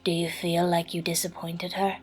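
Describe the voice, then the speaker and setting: A woman playing an earnest, fiercely supportive woman in an audio drama, speaking with tender, emphatic reassurance in a husky, breathy voice. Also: fairly low pitch, completely flat delivery, normal speed, soft background music, close to an everyday voice. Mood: gentle, encouraging.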